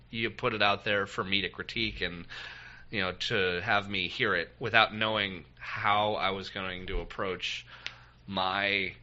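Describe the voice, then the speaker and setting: A man's voice: on the low side, pushed and bright. A man co-hosting a casual podcast, rambling and self-deprecating, with lots of ums. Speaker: podcast co-host. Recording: muffled lo-fi podcast audio.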